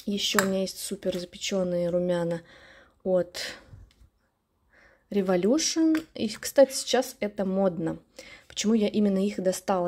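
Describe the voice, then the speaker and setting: Casually chatty woman, medium pitch. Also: darkish tone. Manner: chatty, nostalgic, self-correcting. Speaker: beauty vlogger. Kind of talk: casual rambling chat. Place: indoors at home, at a dressing table.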